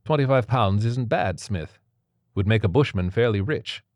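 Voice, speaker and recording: A man's voice: lowish voice, lively intonation, normal speed, darkish tone, no echo, clean studio sound, natural voice. A man voicing a proud, weary young male fugitive with political ambitions in an audio story. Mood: musing.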